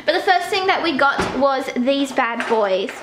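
A woman's voice: high voice, animated, a little slow, noisy background. A young Australian woman in her twenties, chatting casually to a handheld camera at home and in the car. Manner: chatty, talking straight to the camera. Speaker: vlogger. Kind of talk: casual vlog chat. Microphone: handheld camera at arm's length.

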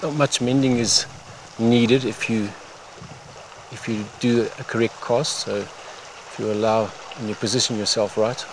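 A man guides the listener as he fishes, speaking in unhurried phrases with pauses. His voice is medium in pitch. He is outdoors by a stream, with clear water and ambient noise behind him. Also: steady tone. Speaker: fly fishing guide. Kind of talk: instructional commentary while fishing. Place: outdoors on a wooded stream bank. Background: running water, outdoor ambience.

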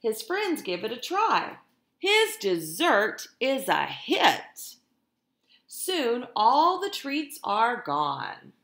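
A middle-aged woman, a teacher, reading a picture book aloud to young children in an expressive, deliberate way, speaking to them directly. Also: fairly high pitch, roomy sound. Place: indoors in a room at home.

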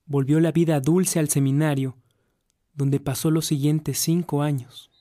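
A man's voice: a little high, level tone, a little slow, warm tone, no echo, studio quality, natural voice. A man narrating a scripted radio drama, telling the life story of a saint. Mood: measured narration.

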